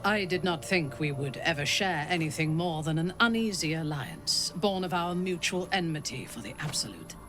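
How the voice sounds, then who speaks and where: A woman playing a proud, vengeful, long-lived dark elf noblewoman in a video game, speaking in a measured, guarded, formal manner. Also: low voice, animated. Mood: tender, candid. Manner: measured, deliberate, grave, formal phrasing. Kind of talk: dramatic video game acting. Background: background music.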